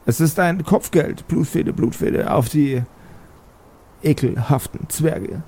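A man voicing a small, spiteful talking skull that announces for witches in a tabletop game, haltingly, with a chanted refrain. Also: on the high side, warm tone, slightly rough, heavily acted. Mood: spiteful, announcing.

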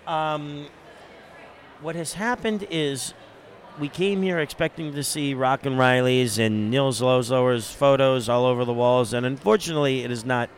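A man's voice: mid-range voice, moderate pace. A man co-hosting a casual podcast chat in a hotel bar, rambling along with lots of hesitation. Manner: rambling, hesitant with fillers and restarts. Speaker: podcast co-host.

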